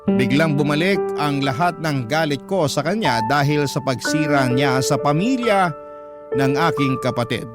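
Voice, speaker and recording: Male radio storyteller over loud music. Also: fairly high pitch, expressive, normal speed. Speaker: storyteller reading a listener's letter. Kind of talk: emotional scripted narration for radio drama. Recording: loud background music under narration.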